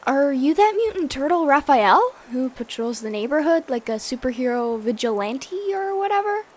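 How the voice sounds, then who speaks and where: A woman voicing an upbeat, excitable young female mutant lizard, a chatty idealist, in a comic dub. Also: high-pitched, moderate pace. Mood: awed, excited.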